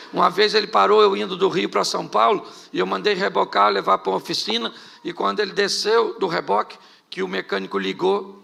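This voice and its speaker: Gravelly, bright-voiced Carioca pastor. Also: male voice, high-pitched. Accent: Carioca Portuguese. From Rio de Janeiro, Brazil. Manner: jokey storytelling, rhetorical questions to the crowd. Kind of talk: humorous live sermon. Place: church hall before a congregation.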